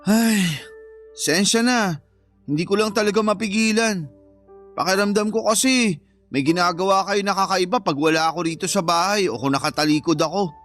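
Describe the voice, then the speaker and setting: A sulky, jealous young Filipino father in a radio drama. He sounds whiny and accusing, with soft music behind him. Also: high voice, normal speed.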